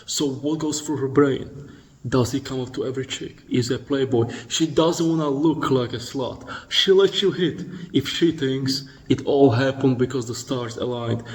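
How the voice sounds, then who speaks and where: A man's voice: fairly high pitch, darkish tone, low-quality recording. A man giving blunt, profane dating instruction as a voice-over, lecturing and addressing the listener directly. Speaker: dating coach.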